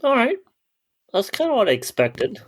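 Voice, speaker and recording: Podcast host dude, casual, voice jumps around in pitch, short offhand remarks. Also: male voice, huge pitch swings, normal speed. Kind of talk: casual chat.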